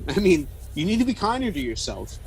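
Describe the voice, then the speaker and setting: A man's voice: sits high, very animated, brisk, a little hiss or hum, poor audio. An actor playing a blunt, flirtatious man who talks like a probing amateur therapist in an audio drama. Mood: earnest, explanatory. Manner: frank, probing, explanatory.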